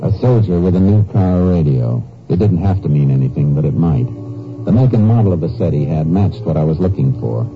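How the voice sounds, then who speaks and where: Low-voiced, terse Texas lawman. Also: animated, brisk. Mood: sober narration. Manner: terse, matter-of-fact. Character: Texas Ranger investigator, steady, businesslike lawman. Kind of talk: 1950s radio drama acting and first-person narration. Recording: dull old radio recording with music.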